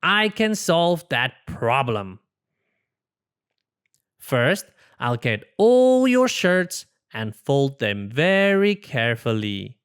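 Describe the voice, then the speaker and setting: Someone voicing a breezy, overconfident cartoon porcupine, very animated. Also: mid-range voice, drawn-out words, clean tone. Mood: confident, upbeat. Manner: breezy, theatrical, self-assured delivery. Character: cheerful, overconfident, silly porcupine problem solver, male.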